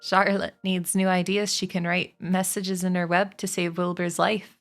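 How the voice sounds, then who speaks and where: A woman voicing an old, shrewd barnyard sheep who speaks with measured authority in a storybook. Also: lowish voice, little pitch movement, faint music behind, natural voice. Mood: matter-of-fact, scheming. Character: oldest sheep, wise, shrewd, persuasive barnyard elder.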